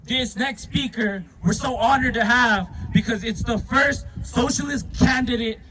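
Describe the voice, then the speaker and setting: A man emceeing an outdoor protest rally, speaking loudly and passionately to project to the crowd. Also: extremely high pitch, unhurried, a little hiss or hum, rough recording. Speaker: rally emcee and chant leader. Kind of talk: impassioned protest rally address.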